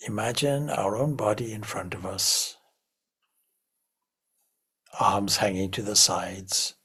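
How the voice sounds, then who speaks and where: A man with a very husky, gravelly voice leads a guided meditation. He speaks slowly and in measured phrases, leaving long pauses as he gently instructs. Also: on the low side. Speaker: meditation teacher.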